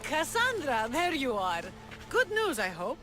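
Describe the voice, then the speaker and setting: A woman's voice: high-pitched, wildly swooping pitch, measured pace, sharp tone, full character voice. An actress playing a bold, passionate female rebel leader in a video game, with a teasing, fervent delivery. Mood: bright, flirtatious. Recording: background music under the voice.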